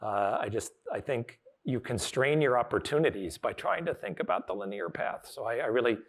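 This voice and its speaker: A man's voice: medium pitch, animated, brisk, vocal fry. A man hosting a conversational interview, phrasing his questions and comments in a rambling, circling way. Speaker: interviewer, university dean.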